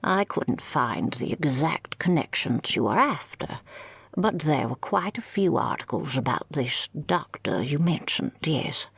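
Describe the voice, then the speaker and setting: Woman doing a kindly old librarian lady, really deep and raspy, folksy and doting, audio roleplay. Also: animated, dry recording, full character voice. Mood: helpful, gentle. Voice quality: husky, creaky. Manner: unhurried endearments, gently formal and folksy. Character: kindly older female librarian, helpful and doting. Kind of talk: scripted audio roleplay acting.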